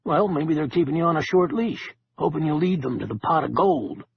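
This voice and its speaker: A man doing a gruff, loyal tough guy. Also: on the high side, animated, fairly quick, lo-fi sound, slight background noise. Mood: blunt.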